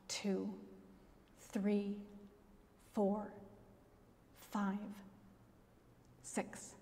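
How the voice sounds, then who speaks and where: Middle-aged woman pastor preaching at the pulpit, dramatic pauses, long echo from the church. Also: medium pitch. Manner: expressive storytelling with dramatic pauses and gestures. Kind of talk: sermon. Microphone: gooseneck pulpit mic.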